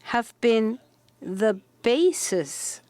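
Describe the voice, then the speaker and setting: A woman delegate making a measured, formal comment in a large conference hall. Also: on the high side, animated, a little mellow. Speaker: civil society delegate.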